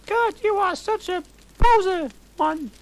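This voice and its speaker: A man voicing a melodramatic gothic teenage girl in a high falsetto, with a gushing, breathless delivery. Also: slow, gravelly, full character voice. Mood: sneering. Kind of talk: comic dramatic reading.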